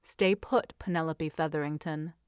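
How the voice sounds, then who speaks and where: A woman voicing an exasperated but fond young Regency gentleman in a story reading, stern and teasing by turns. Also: deep voice, fairly even intonation, moderate pace, clear, resonant tone, no room sound, studio quality, pitched below the performer's own voice, close to an everyday voice.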